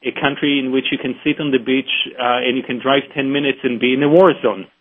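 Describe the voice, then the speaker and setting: A man with a slight Israeli tinge to his speech, a guest on a call-in radio show, talking in a passionate, speech-like way that often restarts mid-sentence. His voice sits at an ordinary pitch. He comes through a thin, phone-like radio line. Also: fairly even intonation, brisk. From United States. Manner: earnest, speechmaking, with many restarts. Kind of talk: radio interview.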